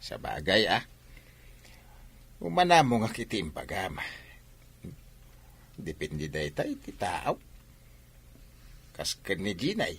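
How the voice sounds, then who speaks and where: A man playing an older village father in a radio drama, concerned and halting, with conversational exclamations. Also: low voice, huge pitch swings, gravelly, a bit bright, slightly rough, heavily acted. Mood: matter-of-fact.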